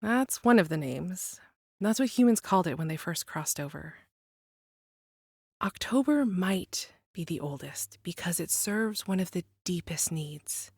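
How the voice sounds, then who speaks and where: An actress playing an enigmatic, gently teasing woman from a world of monsters, telling a hushed bedtime tale in a measured, lyrical way for an audio drama. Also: lowish voice, lively intonation, husky, no echo, clean studio sound, heavily acted. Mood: hushed, mysterious.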